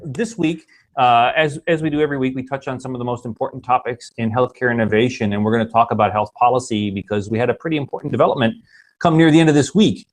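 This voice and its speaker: A man's voice: mid-range voice, fast. A man hosting a casual web broadcast. He sounds upbeat and joking. He often stumbles and restarts his sentences. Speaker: host.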